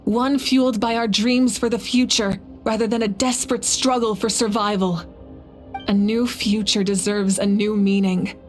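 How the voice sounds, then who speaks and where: A woman's voice: mid-range voice, steady tone, moderate pace, soft background music, lightly acted. A woman voicing a noble, resolute warrior leader in a fantasy game, with composed, solemn oratory. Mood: stirring, hopeful. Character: resolute, noble warrior queen, adult, self-sacrificing. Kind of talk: dramatic fantasy game acting.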